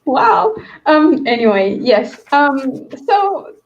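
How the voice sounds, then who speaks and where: A woman's voice: sits high, big pitch swings, extremely slow, dull audio. A woman hosting a casual book stream, chatting in a rambling, giggly way. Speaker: host of a book read-along stream.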